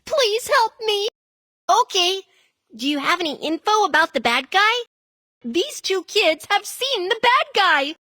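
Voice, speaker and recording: A woman's voice: very high voice, big pitch swings, a little slow, sharp tone, no room sound, clean studio sound, exaggerated voice. A woman voicing a small, eager, childlike animal police helper in a children's cartoon, speaking in simple exclamatory phrases. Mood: eager, urgent. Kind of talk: scripted children's cartoon dialogue.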